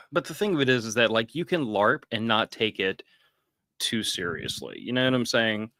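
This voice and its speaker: A low, gravelly man hosts a podcast in a relaxed, drawling way. His voice sits deep and rough, and the recording is dry and close with no room sound. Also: normal speed, dry recording. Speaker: podcast host. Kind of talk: casual podcast chat.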